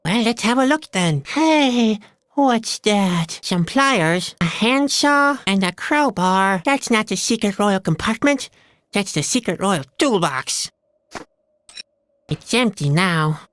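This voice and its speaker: An actor playing a gloomy, self-pitying young clown adventurer in a video game, with a deadpan, self-deprecating manner. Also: male voice, sits high, slow pace, no room sound, studio quality, full character voice. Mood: wry. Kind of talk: video game character dialogue.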